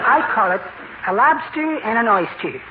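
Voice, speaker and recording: An actor playing a timid, dainty little amateur poet, mild, polite and precious, in an old radio comedy. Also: male voice, very high-pitched, full character voice. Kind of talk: 1940s radio comedy acting. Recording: muffled, noisy old radio recording.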